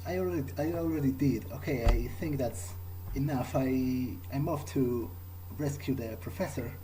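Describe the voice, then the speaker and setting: A man improvising in character as he plays a game, speaking hesitantly and in a measured way with pauses. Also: mid-range voice, background noise. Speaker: gamer playing in character. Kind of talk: improvised in-character role-play over a game.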